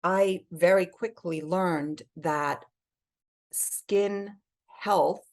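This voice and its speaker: A woman's voice: on the low side, extremely slow. A woman from Switzerland and England talking effusively and emphatically as a guest in a conversational interview. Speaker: podcast guest, health advocate.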